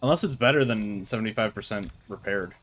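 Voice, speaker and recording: A man's voice: lowish voice, fairly quick, fairly bright tone, soft background music. A man hosting a casual co-op gaming session with friends, rambling and correcting himself as he talks, often breaking into laughter, on a headset mic. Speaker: streamer hosting a co-op game session.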